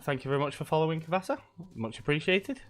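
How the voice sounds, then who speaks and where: A man's voice: a little high, sing-song, fast talker, fairly bright tone. A middle-aged man, stuffy from a cold, chatting casually and rambling with lots of ums and laughs while live-streaming a game.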